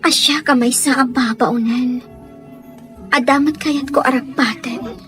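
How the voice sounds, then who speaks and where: A woman's voice: high voice, steady tone, unhurried, a bit bright, faint music behind. A woman playing a humble, hesitant young working woman in love, in an Ilocano radio drama, with an Ilocano accent. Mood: sad, hesitant.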